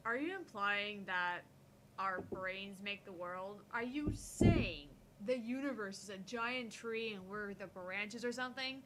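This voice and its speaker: A woman voicing brash, excitable, gullible internet posters in a visual novel. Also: mid-range voice, unhurried. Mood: brash, incredulous. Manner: brash, incredulous. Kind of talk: visual novel read aloud. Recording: faint background noise.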